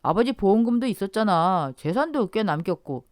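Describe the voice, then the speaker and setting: A woman voicing a greedy, demanding younger brother-in-law who talks loudly and pushily in an audio drama. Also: mid-range voice, expressive, normal speed, no room sound, studio quality, pitched above the performer's own voice, natural voice. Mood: pushy. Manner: pushy, loud.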